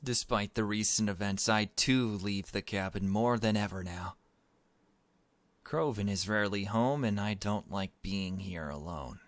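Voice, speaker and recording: A man reading aloud the narration of a gentle, anxious, bookish young girl in a visual novel. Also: on the low side, unhurried, dry recording, natural voice. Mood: uneasy, confiding.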